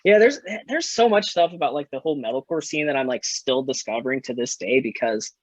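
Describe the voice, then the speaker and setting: A man's voice: medium pitch, lively intonation, brisk, dry recording. A man in his twenties, a musician and podcast guest, rambling in casual chat, filling his talk with "like" and "you know" and swearing freely. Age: 20s.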